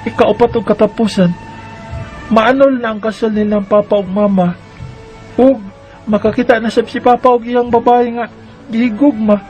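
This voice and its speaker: A man's voice: high-pitched, fairly even intonation, moderate pace, long pauses, darkish tone, soft background music. A man reading aloud a listener's letter in an earnest, pleading way.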